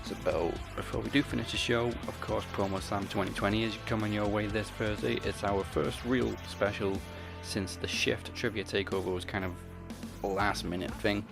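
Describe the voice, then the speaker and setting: A man's voice: fairly low pitch, fairly even intonation, normal speed, creaky. A male podcast host casually announcing upcoming shows. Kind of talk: casual chat. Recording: music playing behind the voice.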